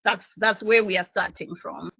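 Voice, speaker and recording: A woman's voice: mid-range voice, normal speed, vocal fry, sharp tone, breathy edge, no echo. A woman from Zimbabwe, a social worker speaking earnestly on a panel and telling her own story with some restarts. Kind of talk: panel discussion on a video call. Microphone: video call. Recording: dull, dry video call audio.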